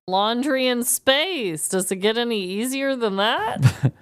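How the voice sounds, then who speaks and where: Animated woman podcaster with a clear voice. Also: on the high side, takes it slowly, no echo, studio quality. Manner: animated, chatty, slangy. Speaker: podcast co-host. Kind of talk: casual comedic chat.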